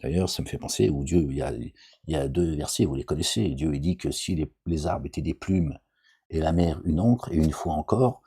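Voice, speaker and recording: Very low, gravelly, husky man. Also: a little fast, warm tone. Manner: digressive, asks "d'accord?" often, jokey asides. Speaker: religious commentator. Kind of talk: informal Quran commentary, rambling.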